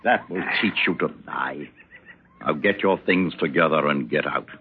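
An actor playing a middle-aged, bullying colonial colonel with a rigid, peremptory military manner in a drama. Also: male voice, very deep voice, measured pace. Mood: contemptuous, angry. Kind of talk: dramatic acting. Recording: dull lo-fi sound with faint noise.

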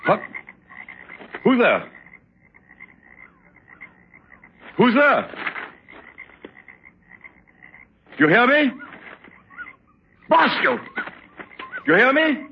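An actor playing a middle-aged, bullying colonial colonel with a rigid, peremptory military manner in a drama. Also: male voice, medium pitch, very animated, normal speed, natural voice. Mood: alarmed, defiant. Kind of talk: dramatic acting. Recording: dull lo-fi sound with faint noise.

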